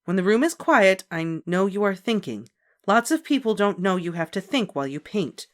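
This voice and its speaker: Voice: mid-range voice, normal speed, pitched above the performer's own voice, lightly acted. A reader voicing a kind woman art teacher who speaks reassuringly to children. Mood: calming. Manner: gentle and reassuring. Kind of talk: audiobook character voice.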